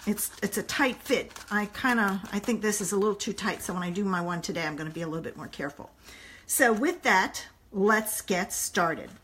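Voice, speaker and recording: A woman in her fifties, a little hoarse, chatting casually to viewers in a home craft live stream, recorded on a tablet held at arm's length indoors. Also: mid-range voice, fairly quick, a bit bright, slight background noise. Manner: chatty, rambling, talks straight to viewers. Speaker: papercraft demonstrator. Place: home living room, indoors. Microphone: phone or tablet camera at arm's length.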